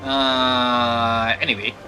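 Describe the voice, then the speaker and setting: A young man with a Malaysian accent and a mid-pitched voice chats on a game stream. He is laid-back and moves between English and Malay, with game music clearly audible behind him. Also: little pitch movement, drawn-out words, bright, forceful tone. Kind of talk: casual game stream chat. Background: video game music.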